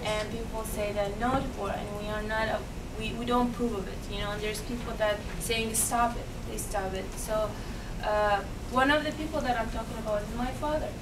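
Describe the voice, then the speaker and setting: Young Iraqi woman, English, earnest and halting, slightly high voice; distant camera audio in a noisy, roomy meeting room. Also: fairly even intonation. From Iraq. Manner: earnest, halting, many restarts and fillers. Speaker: guest speaker. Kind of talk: unscripted talk to a meeting. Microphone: far camera.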